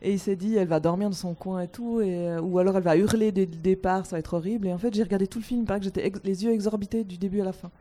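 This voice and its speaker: A woman on a panel telling a story from her childhood in a lively way, repeating words as she goes. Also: mid-range voice, racing, few pauses. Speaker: panel guest. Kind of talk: personal anecdote.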